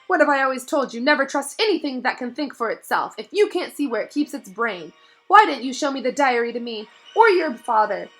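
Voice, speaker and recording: A woman's voice: high-pitched, big pitch swings, brisk, voice pushed up. A woman voicing a flustered wizard mother in a storybook, exasperated and emphatic as she speaks. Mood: scolding. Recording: music behind the voice.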